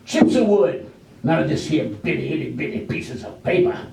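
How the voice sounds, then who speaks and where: A man's voice: a little high, wildly swooping pitch, normal speed, vocal fry, crowd noise, full character voice. A man playing a crotchety, folksy old villager in his late seventies in a staged reading, stammering and repeating himself as he scolds. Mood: indignant. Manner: indignant, repetitive scolding. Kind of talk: amateur readers' theater stage acting. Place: library room during a staged reading. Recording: roomy echo, distant microphone.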